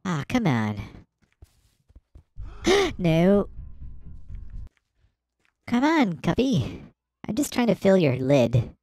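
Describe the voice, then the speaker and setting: A woman hosting a let's play, giving excitable, playful game commentary with gasps and shrieks at surprises. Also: on the low side, extremely slow, pauses often, no echo, soft background music.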